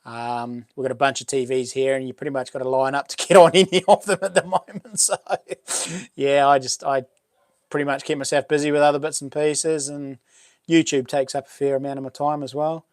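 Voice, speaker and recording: A middle-aged man with a New Zealand accent and a boomy voice, hosting a relaxed livestream chat, rambling with many ums and restarts as he reads out viewer comments. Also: a little fast, dry recording, studio quality. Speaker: livestream host. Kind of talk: casual live chat reading comments.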